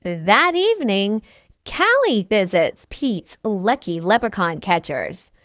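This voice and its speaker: A woman reading a children's storybook aloud in an expressive, deliberate storytelling manner. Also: mid-range voice, no echo. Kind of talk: scripted read-aloud storybook narration.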